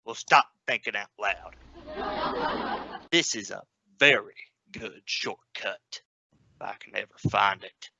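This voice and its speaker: A man's voice: lowish voice, slow, creaky, long pauses, sharp tone, no room sound, heavily acted. A man playing an exasperated, sarcastic father of three in an old-time radio comedy. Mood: grumbling. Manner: sarcastic, scolding. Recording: dull, noisy home-made remote recording.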